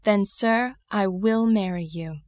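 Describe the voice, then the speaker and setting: An actress playing an earnest, proud young governess, speaking with careful, emotional delivery in a dramatized classic novel. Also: female voice, medium pitch, slow pace, a little mellow, dry recording, studio quality, close to an everyday voice. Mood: tender. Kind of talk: first-person dramatized storytelling of classic novel.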